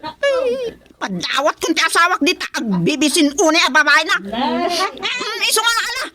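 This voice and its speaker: A woman's voice: very high voice, sing-song, moderate pace, crowd noise. A woman with an Ilocano accent playing an aggrieved, complaining wife in a comedic radio drama. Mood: indignant. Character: aggrieved wife bickering at village hearing.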